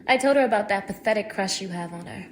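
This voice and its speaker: A woman performs a cruel young female captor in a dramatic audio roleplay. She speaks at an average pitch, addressing one captive with mocking contempt and open menace. There is clear noise behind her voice. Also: fast talker, natural voice. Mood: cold, menacing. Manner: mocking, degrading, swinging from hushed menace to shouting.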